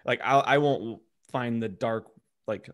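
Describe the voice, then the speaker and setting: A man's voice: medium pitch, measured pace, fairly bright tone. A man co-hosting a podcast, chatting casually in an informal, rambling way. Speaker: podcast co-host. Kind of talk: casual chat.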